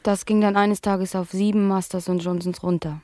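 A woman playing a blasé young talk-show guest in a satirical radio play, speaking in a deadpan, matter-of-fact way. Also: medium pitch, normal speed, no room sound, clean studio sound, natural voice. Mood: blasé, indifferent. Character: blasé young woman, addicted clubgoer giving clinical testimony.

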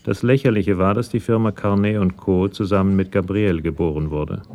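A man narrating a scripted crime radio play in a measured, matter-of-fact storytelling manner. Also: low voice, steady tone, mellow.

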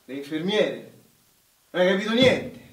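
An actor playing a bitter, worldly young Italian soldier of the First World War, talking colloquially and insistently. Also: male voice, high-pitched, animated, measured pace. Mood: teasing, wistful. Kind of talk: live stage or radio war drama acting. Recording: roomy, distant microphone, music behind.

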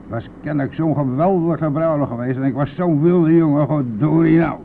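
An actor playing a boastful, brash and talkative bus driver in a radio play, with a blustery, colloquial manner. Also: male voice, fairly high pitch, normal speed, gravelly. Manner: blustery, colloquial, mildly swearing. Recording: narrow phone-like old radio recording, faint noise.

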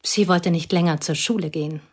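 An actress playing a provincial woman recalling an old school friend, speaking quietly and wistfully in a radio drama. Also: fairly low pitch, a little fast, breathy edge. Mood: bitter. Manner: quiet, wistful, partly whispered.

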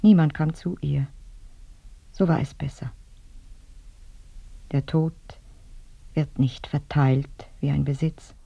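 A lonely dead woman murmurs from her coffin in a radio drama. Her voice is breathy and very slow, drifting dreamily through grief. The sound is close, dry and slightly muffled. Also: sits low, steady tone, mellow, no echo, full character voice. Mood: grieving. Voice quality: breathy, whispery.

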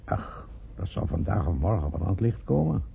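A calm, matter-of-fact husband. His voice is deep and gravelly, his manner soothing. The old radio play recording is very muffled. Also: moderate pace, slight background noise, heavily acted. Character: calm, down-to-earth, reassuring husband. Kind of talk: radio play acting in Dutch.

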